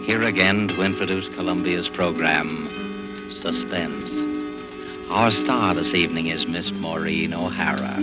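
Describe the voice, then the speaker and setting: Deep-voiced, ominous thriller host. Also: male voice. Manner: measured, theatrical, ominous. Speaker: narrator and host. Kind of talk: 1940s radio announcing, scripted narration.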